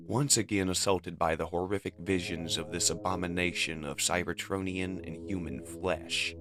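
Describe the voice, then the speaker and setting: A man narrating a comic dub with dramatic, emphatic scripted storytelling. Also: deep voice.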